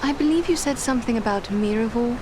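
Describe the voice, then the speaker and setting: An actress playing a courteous, earnest elven warrior in a fantasy game, with composed, formal phrasing. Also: female voice, a little high, fast, background noise, lightly acted. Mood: sympathetic. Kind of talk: dramatic fantasy video game acting.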